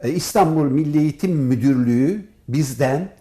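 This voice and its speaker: A man with a fairly high, mellow voice speaks as an academic being interviewed. His delivery is formal and measured, laying points out in orderly, list-like phrases. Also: animated. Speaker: professor and department head, interview guest. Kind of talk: formal, prepared-sounding interview answers.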